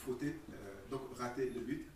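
A man in a class asking the teacher hesitant, halting questions. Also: medium pitch, a little hiss or hum. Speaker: student. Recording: distant voice off-mic.

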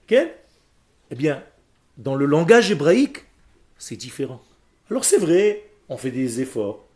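A man's voice: a little high, sing-song, a little slow, darkish tone. Emphatic man teaching a class. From Israel. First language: Hebrew. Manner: emphatic, questions the class, explains word by word. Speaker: Hebrew and Torah teacher.

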